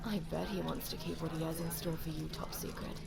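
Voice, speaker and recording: A woman's voice: sits low, little pitch movement, fairly quick, vocal fry, heavy background noise. A woman playing a chatty, gossipy young office friend in an audio roleplay, talking in a playful, conversational way. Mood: teasing.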